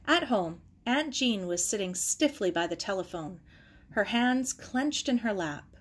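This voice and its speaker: A woman's voice: mid-range voice, expressive, a little slow, breathy edge. A woman reading a children's novel aloud as scripted narration. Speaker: audiobook reader.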